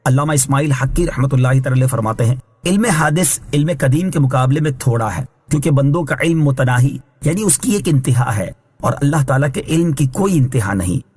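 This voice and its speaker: A man reading scripted Islamic translation and commentary in a measured, formal, instructive way, as a scholar would. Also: mid-range voice, expressive, a little mellow, husky. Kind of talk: scripted religious exegesis narration.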